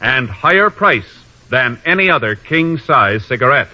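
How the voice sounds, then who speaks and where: A man's voice: mid-range voice, lively intonation, a little slow, sharp tone. Formal American man announcing on vintage radio. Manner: formal, emphatic delivery. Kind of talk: 1950s radio announcing, scripted. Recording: dull vintage radio broadcast recording. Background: music.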